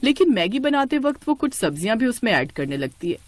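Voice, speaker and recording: A woman narrating a scripted story in an expressive storytelling style. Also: a little high, brisk, a little hiss or hum.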